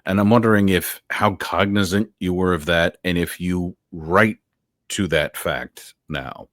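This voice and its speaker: A man's voice: low-pitched, measured pace, a bit bright, no room sound. A male interviewer asking long, thoughtful questions in a casual, winding way.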